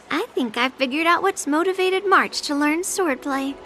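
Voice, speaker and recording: High-voiced, confident young swordswoman. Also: a little slow, fairly bright tone, soft background music. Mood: teasing. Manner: blunt, playful, breezy. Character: confident, frank, teasing young female swordmaster. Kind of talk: English video game voice acting.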